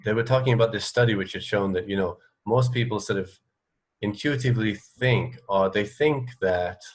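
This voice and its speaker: A man's voice: lowish voice, normal speed. A man hosting a casual radio interview, talking in a thoughtful, hesitant and rambling way.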